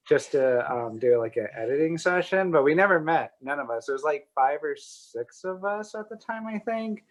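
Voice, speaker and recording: A man's voice: medium pitch, low-quality recording. Laid-back guy guest on a podcast call, chuckles a lot, mid voice. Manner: laughs often, easygoing, casual. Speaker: guest photographer. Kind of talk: casual interview chat.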